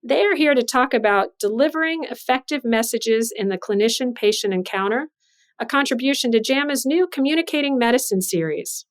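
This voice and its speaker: Female medical podcast host, slightly high voice. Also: expressive, fairly quick. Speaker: podcast host and journal editor. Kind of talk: scripted introduction and interview questions.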